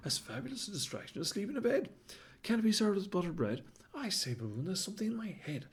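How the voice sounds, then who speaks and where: A man doing a weary, dry-witted cat, husky. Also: high-pitched, very animated, fast talker, voice pushed up, full character voice. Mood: eager. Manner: dry, exasperated, rhyming. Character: sensible, weary male cat, dryly exasperated. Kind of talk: storybook character voice.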